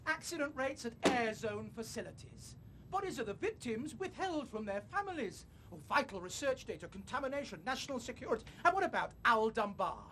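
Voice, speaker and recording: A man's voice: very high voice, sing-song, a little fast, background noise, full character voice. A man acting an outspoken, indignant TV weatherman in a screen drama, emphatic and sardonic. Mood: grave, urgent.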